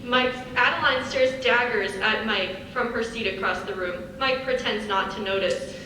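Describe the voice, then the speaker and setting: A young woman narrates a script in a live reading, delivering the stage directions in a bright, forceful voice. She is a little high-pitched and sounds distant in a reverberant hall with faint noise. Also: normal speed. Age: young adult.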